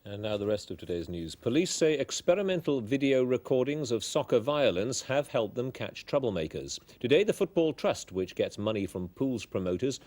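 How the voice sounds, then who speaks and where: A man reading a television news bulletin in a studio, crisp and even. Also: mid-range voice. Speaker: newsreader.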